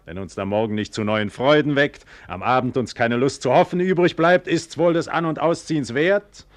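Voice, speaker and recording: A man's voice: medium pitch, moderate pace, no echo, close to an everyday voice. An actor playing a proud, confident, carefree nobleman in a theatrical, declamatory 1940s radio play style. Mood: light, carefree. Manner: declamatory, self-assured. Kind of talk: 1940s German radio play acting, theatrical stage diction.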